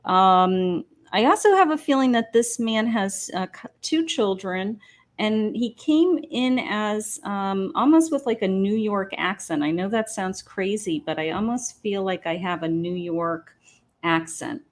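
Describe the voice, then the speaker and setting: A woman in the middle of her pitch range speaks at an unhurried, drawn-out pace. She gives psychic readings from her patio and pauses often, filling the gaps with ums as she works out what she senses. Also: animated. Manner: slow, hesitant, many ums, thinking aloud. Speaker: psychic medium host. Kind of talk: live-stream psychic reading, casual. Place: home patio.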